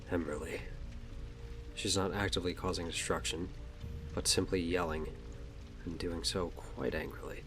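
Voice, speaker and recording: Low-voiced male audio drama narrator.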